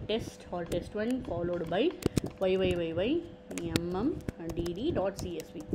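A woman presenting an informal software tutorial, explaining step by step and repeating her points. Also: mid-range voice, background noise.

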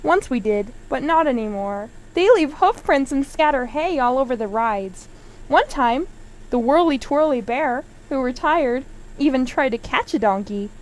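Woman as a high-voiced, firm fairground manager. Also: animated, measured pace, rough recording. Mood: firm, exasperated. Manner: apologetic but firm explaining.

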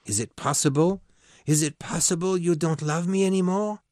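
A man's voice: a little high, normal speed, a little mellow, dry recording, studio quality, pitched above the performer's own voice, close to an everyday voice. A man voicing a passionate young man in an audiobook, pleading and emotional.

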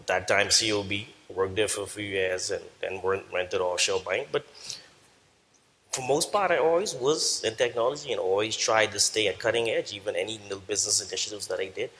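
A middle-aged Bahamian man on a conference panel, calmly recounting his career with frequent fillers. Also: lowish voice. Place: large conference hall stage. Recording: long hall reverb, faint noise.

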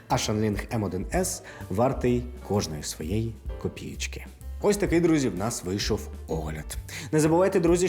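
A man presents a video product review with faint music underneath. His pitch moves in animated swings, while the tone stays mellow. He talks informally, treating viewers as friends. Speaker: presenter of audio gear reviews for a store.

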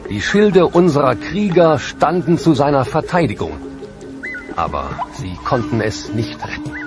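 A grieving Aztec survivor. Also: male voice, on the high side, sing-song, a little slow. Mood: mournful. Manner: solemn, lamenting. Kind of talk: dramatic reading of historical testimony. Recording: voice over loud music. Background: music.

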